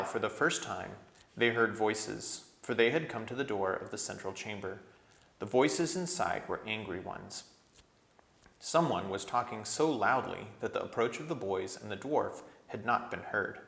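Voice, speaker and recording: A man reading a children's book aloud as an animated storyteller. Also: on the low side, measured pace, vocal fry. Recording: echoey room.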